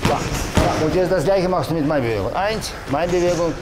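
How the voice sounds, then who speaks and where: A man's voice: fairly high pitch, lively intonation, a little fast. A middle-aged man coaching pad drills, calling short counts and commands in a large indoor boxing gym, picked up by a camera at a distance. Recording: gym noise with loud thuds.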